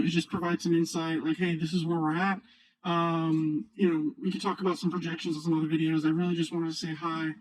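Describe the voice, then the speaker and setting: Man with voice changer, flat delivery. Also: fairly high pitch, no echo, poor audio. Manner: halting, rambling, unscripted delivery. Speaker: video host, new finance YouTuber. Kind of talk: casual screen-share commentary on stock markets.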